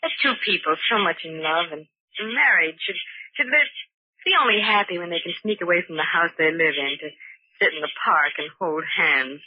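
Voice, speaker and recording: An actress playing an earnest, loving, long-suffering young wife, tender but firm and pleading in an old radio drama. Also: female voice, lowish voice, normal speed, husky. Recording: muffled old radio broadcast recording.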